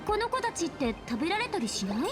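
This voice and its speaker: A woman's voice: extremely high pitch, big pitch swings, very fast. A woman voicing a cute, curious, playful young girl in an anime-style video game, chirpy and casual. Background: loud game music.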